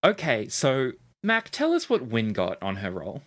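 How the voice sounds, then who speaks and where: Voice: mid-range voice, huge pitch swings, a little slow, a bit bright. A game master chatting casually and narrating a tabletop game.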